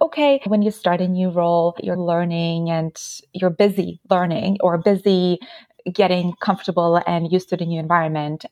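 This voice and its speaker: A woman in her thirties from Ukraine, Ukrainian her first language, speaks at a low pitch and tells her story in a reflective, easygoing way. Her voice sits close and dry, with almost no room sound around it. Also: darkish tone, no room sound. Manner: reflective, telling stories with small laughs. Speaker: podcast guest. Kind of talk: casual interview talk.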